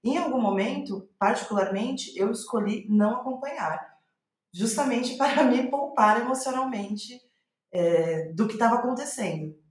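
A woman's voice: fairly high pitch, moderate pace. A woman with a Brazilian Portuguese accent speaking reflectively in an interview, thinking aloud and restating her phrases as she goes. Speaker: playwright, podcast guest. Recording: roomy, echoey sound.

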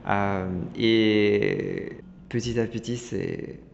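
A man talks in a low, gravelly voice. He restarts his sentences, and he stalls on fillers. The recording sounds dull and lo-fi, with a long reverb trailing behind him. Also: steady tone, extremely slow, a little hiss or hum. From Italy. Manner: hesitant, many restarts and fillers. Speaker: guest designer. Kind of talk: casual interview talk.

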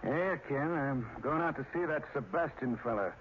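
An actor playing a weary, plain-spoken small-town sheriff in an old radio drama, with a folksy, hesitant manner. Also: male voice, mid-range voice, animated, moderate pace, close to an everyday voice. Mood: uneasy. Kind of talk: 1940s radio drama acting. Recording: dull, hissy old radio recording.

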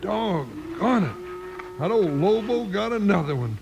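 An actor playing a big, timid, good-natured comic deputy in a radio western, folksy and hesitant, with an American Western drawl. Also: male voice, big pitch swings, slow, over loud music, full character voice. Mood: comic unease. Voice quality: high, creaky voice. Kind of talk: 1950s radio western acting.

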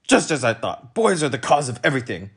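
A man voicing a short, fierce, bossy policeman who shouts accusations, for a storybook reading. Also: medium pitch, very animated, a little fast, husky, voice pushed up, exaggerated voice. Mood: bossy, shouting.

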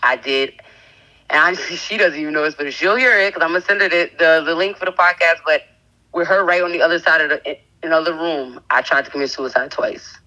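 A woman in her thirties, a podcast guest sharing a candid personal testimony in a halting way, with many fillers and restarts. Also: low-pitched, bright, forceful tone, poor audio. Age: 30s.

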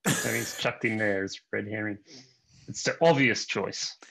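A man's voice: lowish voice, a little slow, vocal fry, sharp tone, breathy edge, low-quality recording. A man chatting casually as a quiz contestant, giving brief, easygoing replies. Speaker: podcast co-host and quiz contestant. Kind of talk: casual chat.

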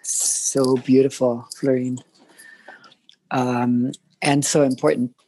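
A call facilitator responding in a slow, measured, affirming way on a video call. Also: mid-range voice, level tone, warm tone, dry recording, low-quality recording.

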